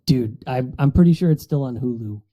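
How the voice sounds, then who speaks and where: A man's voice: mid-range voice, a little fast, mellow. A man chatting casually as a podcast panelist, recorded through a dull, lo-fi microphone. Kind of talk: casual chat.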